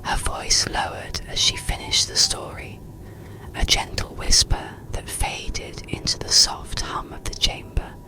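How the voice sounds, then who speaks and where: A narrator telling a bedtime story in a slow, soothing, breathy ASMR whisper. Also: music in the background, rough recording. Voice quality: breathy, unvoiced whisper with creak.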